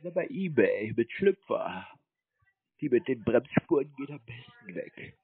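A man in a phone conversation, talking in a deadpan way and playing naive. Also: mid-range voice, measured pace, vocal fry, breathy edge, dry recording. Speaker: prank call recipient stringing along a scammer. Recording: muffled narrowband phone audio.

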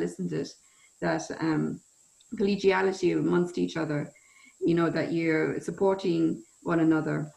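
A middle-aged woman hosts a panel over a webcam, her low voice carrying a gravelly edge. The room gives her speech a roomy echo. She pauses and restarts while gathering her thoughts. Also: darkish tone. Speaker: host, researcher. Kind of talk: moderating a panel on a video call. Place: room with wooden ceiling, indoors. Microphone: video call webcam. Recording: roomy, echoey webcam sound.

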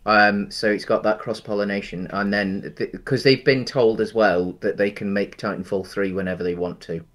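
Man, thirties, low voice, meandering chatty co-host on a headset video call. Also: fairly even intonation, normal speed, a bit bright. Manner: rambling, many ums and restarts. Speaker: podcast co-host. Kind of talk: casual chat. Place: home room, video call.

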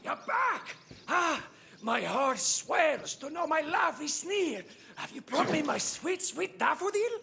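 A high, gravelly, bombastic knight. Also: male voice, very animated, takes it slowly, bright, forceful tone, full character voice. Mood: lovestruck, haughty. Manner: bombastic, theatrical, florid. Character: deluded, lovestruck, grandiose knight on a heroic quest.

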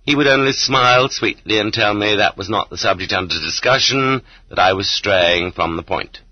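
A man reading a literary audiobook in an expressive, rhetorical manner. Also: fairly low pitch, normal speed, no room sound. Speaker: audiobook narrator. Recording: slightly dull audiobook transfer from cassette.

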